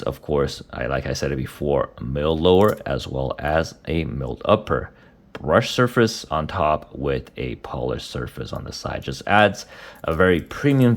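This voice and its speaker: A man with a cold, his voice deep and raspy, casually reviewing watch parts with many hesitant fillers. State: hoarse from a cold.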